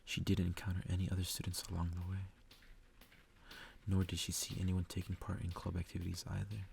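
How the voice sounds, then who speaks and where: Low, gravelly man reading softly. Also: monotone, fairly quick, slight background noise. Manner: stumbles over harder words, casual swearing asides. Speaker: ASMR creator reading a visual novel aloud. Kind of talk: soft ASMR story reading.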